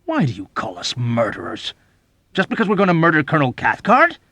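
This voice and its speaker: A man voicing a belligerent, desperate young airman in an audiobook novel, with a thick, hoarse voice and an agitated, pleading, shouting manner. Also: lowish voice, normal speed, no echo. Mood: indignant.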